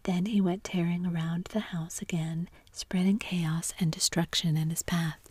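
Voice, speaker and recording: A woman narrating a classic story in a soft whisper throughout. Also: on the low side, breathy edge, dry recording. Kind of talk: whispered audiobook reading.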